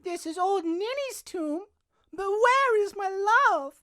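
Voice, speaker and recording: A woman's voice: very high-pitched, lively intonation, slow pace, a bit bright, exaggerated voice. A woman voicing a lovelorn maiden from a clumsy stage play, declaiming with exaggerated theatricality in a comic audio drama. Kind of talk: modernized Shakespeare audio drama comedy.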